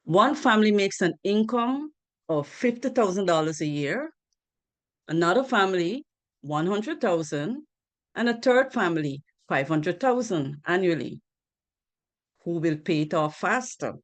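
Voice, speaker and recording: A woman's voice: fairly low pitch, lively intonation, measured pace. A woman from Guyana giving a financial literacy presentation on a group video call, earnest, asking rhetorical questions and repeating key points.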